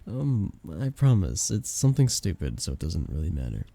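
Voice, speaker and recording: A young man acting a flustered best friend with a hidden crush in an intimate ASMR romance roleplay, soft-spoken, casual and hesitant. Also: low-pitched, very animated, moderate pace, vocal fry, full character voice. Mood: teasing, reluctant.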